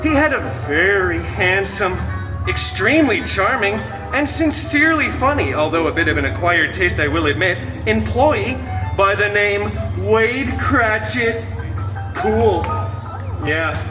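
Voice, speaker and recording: A man's voice: high voice, expressive, measured pace. A man playing a snarky masked antihero who hosts a live storytime show, joking sarcastically at the audience. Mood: sarcastic. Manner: sarcastic, theatrical, fourth-wall jokes. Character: wisecracking masked male antihero, snarky and hammy. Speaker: live stage show performer. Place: outdoor theme park stage. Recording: distant mic, roomy, music behind.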